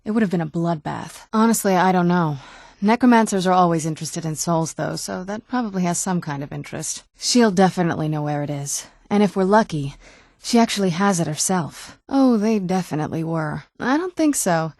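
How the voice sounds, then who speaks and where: A woman's voice: fairly low pitch, animated, a little fast, darkish tone, dry recording. An actress playing a young-looking, guarded female vampire in a video game, speaking in a dry, earnest, conversational way. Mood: matter-of-fact. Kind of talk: video game character dialogue.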